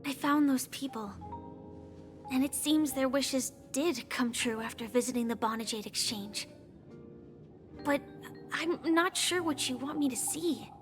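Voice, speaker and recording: An actress playing a gentle, reserved young heroine in a video game, speaking in a hesitant, earnest, soft way. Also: female voice, high voice, measured pace. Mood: reserved, polite. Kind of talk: video game character dialogue. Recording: faint music behind the voice.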